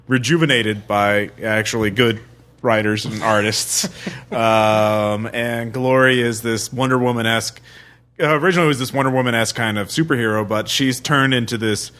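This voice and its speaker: A man co-hosting a casual podcast, explaining things in a halting, thoughtful way. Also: medium pitch, fairly even intonation, fairly bright tone. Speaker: podcast co-host. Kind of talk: casual podcast chat about comics.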